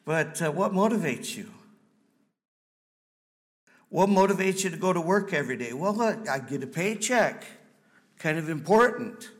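Man, 50s, Wisconsin accent, missionary speaking in church, folksy and halting. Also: on the high side, expressive. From Wisconsin, USA. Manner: halting, folksy, frequent ums. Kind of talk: church presentation.

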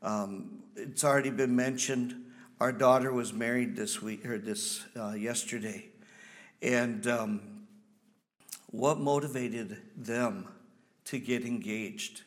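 A man in his fifties with a Wisconsin accent, a missionary giving a folksy, halting church presentation full of ums. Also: mid-range voice, steady tone. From Wisconsin, USA.

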